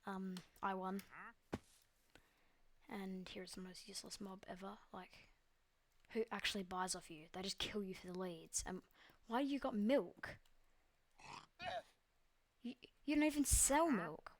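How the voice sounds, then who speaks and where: A woman's voice: mid-range voice, a little slow, long pauses. A young Australian woman giving casual, rambling commentary over her gameplay, full of ums and likes and the odd swear. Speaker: gaming video creator.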